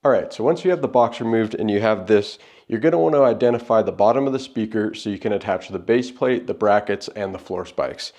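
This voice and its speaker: A man's voice: lowish voice, a little fast, few pauses, a little mellow. A man hosting an unboxing, giving casual, plain-spoken step-by-step setup instructions. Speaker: product unboxing host.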